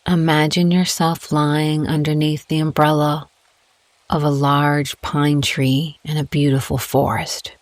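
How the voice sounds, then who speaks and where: A woman guiding a meditation in a gentle, calm, unhurried way with long pauses between phrases. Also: sits low, no room sound. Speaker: guided meditation host. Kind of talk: soothing guided meditation narration.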